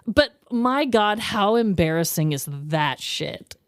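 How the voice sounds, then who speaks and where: A woman co-hosting a podcast, chatting casually and reading listener stories in an expressive, gushing, slangy way. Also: medium pitch, slow pace, clean tone, dry recording, clean studio sound. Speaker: podcast co-host.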